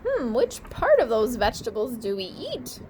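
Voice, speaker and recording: A woman teacher reading a storybook aloud to children, pausing to comment and ask questions. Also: fairly high pitch, wildly swooping pitch, darkish tone, slight background noise.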